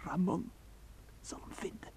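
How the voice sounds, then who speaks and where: An actor playing a hot-blooded, jealous young man, a protective brother, in a Dutch radio play with intense, halting delivery. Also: a little mellow, whispered, close to an everyday voice. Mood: dying, weak. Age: 20s.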